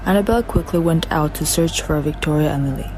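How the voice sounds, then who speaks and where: A woman's voice: low-pitched, fast, darkish tone, slight background noise. A woman reading the narration of a student radio drama carefully, with some slips. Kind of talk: read-aloud narration. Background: music.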